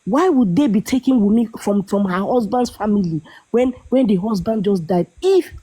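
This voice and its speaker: Female host, warm husky tone, casual and questioning. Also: mid-range voice, expressive, moderate pace, poor audio. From not Yoruba. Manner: questioning, prompting the guest. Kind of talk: casual chat.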